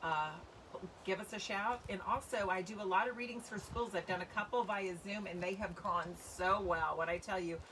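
Woman, 40s, children's author on a casual livestream, mid-pitched, recorded outdoors a little far from the mic with noticeable background noise. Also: normal speed, a bit bright. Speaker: children's book author and reader. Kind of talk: storybook reading and casual livestream chat. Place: covered outdoor patio by trees. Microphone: phone or camera a few feet away.

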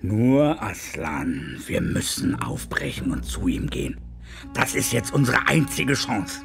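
A man playing an earnest, wary beaver in a German radio drama, dramatic and ominous, with a creaky voice. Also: mid-range voice, very animated, slow, heavily acted. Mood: urgent. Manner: dramatic, ominous, swinging into hushed tones. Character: grave old talking beaver, earnest and wary. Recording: music under the voice.

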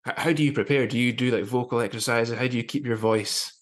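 A man's voice: medium pitch, fairly even intonation, quick pace. A man in his thirties casually interviewing someone as a podcast host on a video call. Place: indoor room, on a video call.

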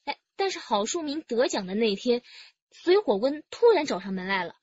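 An actress playing an earnest, orderly police detective in a radio drama. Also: female voice, on the high side, sing-song, normal speed, darkish tone, husky, dry recording, dull audio. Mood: earnest, factual.

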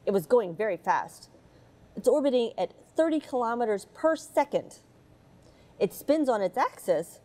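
Woman, middle-aged, reading to kids, chatty asides, warm voice, lively pitch. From United States. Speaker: astronaut reading a picture book. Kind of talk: storybook read-aloud for children. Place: space station module, indoors.

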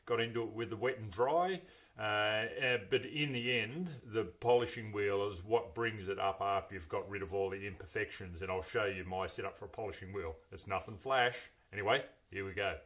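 Relaxed man in his garage. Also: lowish voice, normal speed, breathy edge. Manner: relaxed, rambling, asks viewers for advice. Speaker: car restoration vlogger. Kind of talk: casual workshop walkthrough. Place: home garage workshop.

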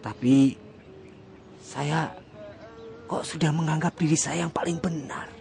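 An actor playing a soft-spoken former militant man who has come back from prison humbled and repentant, in a radio drama. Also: fairly high pitch, fairly even intonation, measured pace, vocal fry, a little hiss or hum. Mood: remorseful. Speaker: villager returned from prison.

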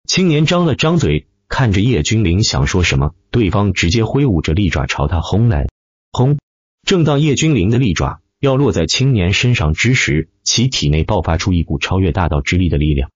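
A man narrates a fantasy tale with theatrical emphasis. His voice is low and husky, and his intonation rises and falls in wide swings as he stresses the action. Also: no echo, dull audio. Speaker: audiobook narrator. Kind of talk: dramatic scripted narration of a fantasy web novel.

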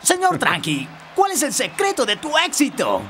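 An actor playing an excitable, flamboyant young male TV sports reporter in a cartoon, eager and showy. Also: high-pitched, normal speed, slight background noise, heavily acted. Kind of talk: cartoon dub acting.

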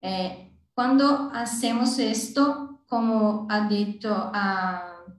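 A woman whose first language is Portuguese, speaking hesitantly in a second language with restarts, presenting as a smart cities consultant on a video call. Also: mid-range voice. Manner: hesitant, halting Spanish with many restarts. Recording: roomy, distant video-call audio.

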